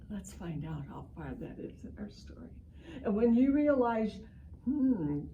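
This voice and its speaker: A woman's voice: mid-range voice, warm tone, a little hiss or hum, rough recording. A woman reading a picture book aloud to children, with exaggerated emphasis, exclamations and simple asides to her young listeners. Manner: explains simply, addressing young listeners directly. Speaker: reading session leader for children.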